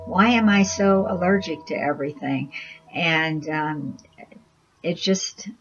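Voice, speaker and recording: Woman vlogger, unhurried rambling story to camera, lowish voice, muffled lo-fi sound. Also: soft background music. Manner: chatty, rambling, many restarts and laughs. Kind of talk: casual personal storytelling to camera.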